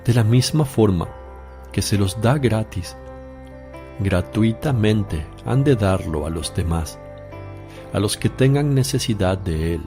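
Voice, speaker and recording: An authoritative master teaching disciples in a religious radio drama. He speaks very slowly and solemnly, in a mellow tone. Music sits behind him. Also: on the low side, animated. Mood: solemn instructing. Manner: measured, solemn, exhortative.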